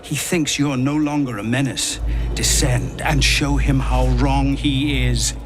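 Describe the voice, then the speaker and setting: A man playing a solemn, guilt-burdened warrior in a fantasy video game, speaking gravely and sometimes hushed, with music behind him. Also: mid-range voice, fairly even intonation, unhurried, natural voice. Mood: resolute.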